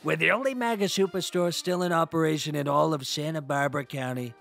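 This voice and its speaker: An actor playing a sleazy pitchman for a roadside animal park in a parody radio ad, rambling in folksy salesman patter. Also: fast talker, creaky, a bit bright, faint music behind. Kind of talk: parody local radio ad read.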